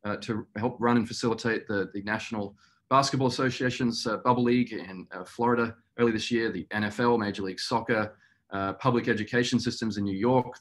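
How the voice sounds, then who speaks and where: Low-pitched middle-aged man, earnest and measured. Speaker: company executive. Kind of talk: webinar interview answer. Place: small indoor room, video call.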